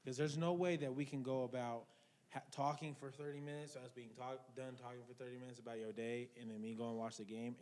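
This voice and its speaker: Podcast host guy, middle pitch, insistent, cuts in to argue. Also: darkish tone. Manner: insistent, interjects with pushback. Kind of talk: casual debate.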